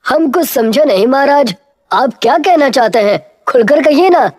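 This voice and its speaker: A woman acts out an earnest village man in a children's cartoon. The voice is pushed up high, urgent and questioning, with simple puzzled sincerity. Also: expressive, normal speed, darkish tone, dry recording, clean studio sound. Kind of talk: cartoon moral story acting.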